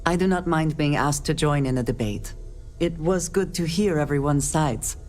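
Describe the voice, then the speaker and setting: An actress playing a guarded, steely-calm woman space explorer in a video game, speaking in formal, measured sentences. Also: low-pitched, big-room sound, soft background music, close to an everyday voice. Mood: reserved, sincere. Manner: formal, measured speech without contractions. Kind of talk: video game cutscene acting.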